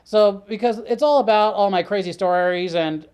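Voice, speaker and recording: Clear high-voiced man telling sardonic stories. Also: moderate pace, darkish tone. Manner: laid-back, sardonic, swearing. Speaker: talk show guest. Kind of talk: casual chat, storytelling.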